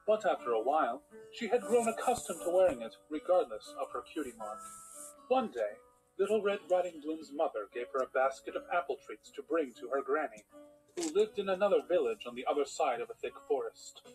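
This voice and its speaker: A man's voice: a little high, very animated, brisk, darkish tone, low-quality recording. A man playing a patient but exasperated cartoon storyteller, reading a bedtime tale as narrator. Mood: storytelling. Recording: music behind the voice. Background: music.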